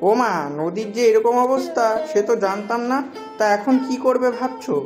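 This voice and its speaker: A man's voice: extremely high pitch, moderate pace, music in the background. A man voicing a curious, sociable villager in a cartoon moral story, chatty and full of exclamations. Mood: curious, surprised. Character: curious, sociable village fellow, easily surprised.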